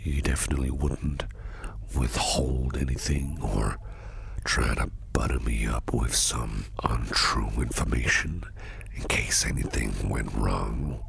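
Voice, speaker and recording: Man voicing a gravelly, extremely deep, sinister flirty boss. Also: a bit bright, slight background noise, exaggerated voice. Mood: sly intimidation. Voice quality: very deep gravelly voice, often breathy near-whisper. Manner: slow, insinuating, smooth-talking, teasing. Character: shady, intimidating, flirtatious male company boss interviewing an applicant. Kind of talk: ASMR roleplay, close personal address.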